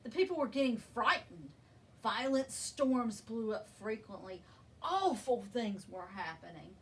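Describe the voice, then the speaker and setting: A woman reading a children's picture book aloud in an expressive, deliberate storytelling manner, leaning on key words. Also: on the high side. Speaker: story reader. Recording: distant microphone with background noise.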